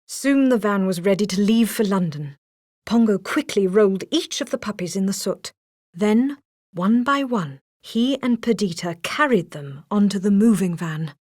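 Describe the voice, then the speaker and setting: A woman narrating a children's read-along storybook in an expressive, dramatic storytelling manner. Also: mid-range voice, normal speed, dry recording, studio quality.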